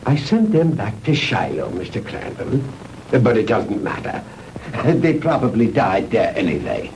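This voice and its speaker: An actor playing a proud, fanatical mad scientist with a German accent, courteous and condescending, in 1960s B-movie style. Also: male voice, on the low side, big pitch swings, creaky, darkish tone, full character voice. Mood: self-assured. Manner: grandiose, condescending, deliberate. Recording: noisy old 1960s film soundtrack.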